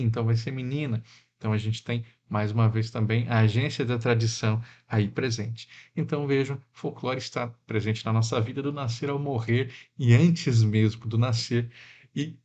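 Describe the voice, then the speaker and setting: A man from Mato Grosso do Sul, Brazil, giving a lecture in a reflective, unhurried way, with frequent fillers and tag questions. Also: mid-range voice, darkish tone, muffled recording. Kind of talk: recorded lecture.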